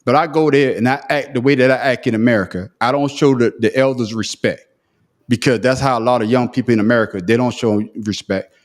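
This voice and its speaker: A man's voice: mid-range voice, brisk. A man hosting a casual podcast, arguing emphatically and restarting his sentences often. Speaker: podcast host. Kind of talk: heated casual debate.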